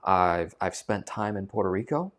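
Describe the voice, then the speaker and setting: Male finance coach, fairly low voice, emphatic, repeats words to drive points home. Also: expressive, normal speed, a bit bright. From United States. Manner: emphatic, repeats phrases for stress. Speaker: finance coach and channel host. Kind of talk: direct-to-camera talk.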